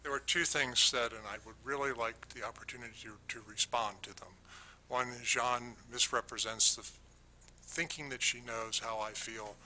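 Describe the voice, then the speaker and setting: Tense, flat-voiced male commissioner. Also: on the low side, slight background noise, low-quality recording. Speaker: town commissioner. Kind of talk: responding at a public board meeting. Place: town council meeting room. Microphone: table microphone.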